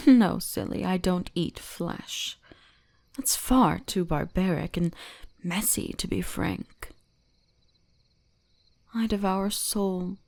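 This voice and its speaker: A woman voicing a teasing, gently dominant kitsune shrine maiden in an intimate ASMR roleplay, often slipping into whispers. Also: fairly low pitch, slow pace, vocal fry, long pauses, no echo, full character voice. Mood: soothing, sinister.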